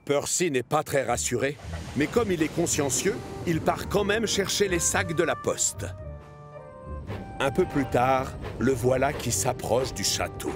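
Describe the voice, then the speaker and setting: A man's voice: medium pitch, unhurried. Male storyteller over music. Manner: storytelling, expressive. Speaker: narrator. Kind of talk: scripted children's cartoon narration.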